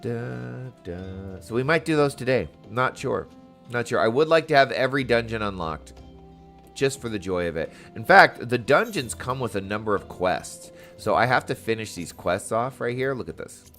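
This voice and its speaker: A man's voice: mid-range voice, lively intonation, moderate pace, fairly bright tone, faint music behind. A man giving casual, playful commentary as he plays through a video game. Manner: playful, chatty, exclaiming. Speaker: gaming streamer. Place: dark room at home.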